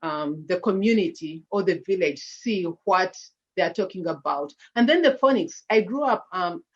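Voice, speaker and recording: A woman's voice: on the low side, expressive, normal speed, lo-fi sound. A woman with a Ugandan accent presenting slides on a video call, hesitant, with many fillers and restarts. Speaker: nonprofit founder presenting slides.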